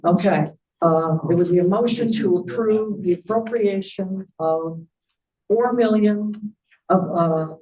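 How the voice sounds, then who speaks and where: A board member at a meeting with a mid-pitched, carrying voice. The manner is direct and corrective, reading figures out plainly and steering procedure. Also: a little slow, lo-fi sound. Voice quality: loud, carrying voice.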